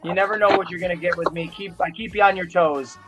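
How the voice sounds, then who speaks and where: A man's voice: high-pitched, steady tone, fast talker, muffled recording, heavy background noise. A man in his thirties joking and teasing on a casual stream chat, swearing now and then. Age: 30s. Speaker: guest on a stream.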